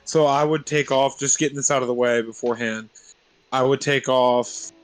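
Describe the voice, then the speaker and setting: A man's voice: mid-range voice, steady tone, moderate pace, no echo, soft background music, low-quality recording. A man chatting casually over a voice call as a player in a tabletop game. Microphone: online voice call.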